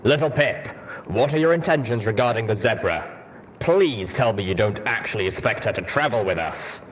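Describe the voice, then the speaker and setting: A stern armored veteran stallion acted by a male reader speaks with suspicion and reproach in an animated storybook delivery. The recording has noise behind it. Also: lowish voice, normal speed, big-room sound, close to an everyday voice. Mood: indignant. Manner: stern, accusatory. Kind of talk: audiobook character voice.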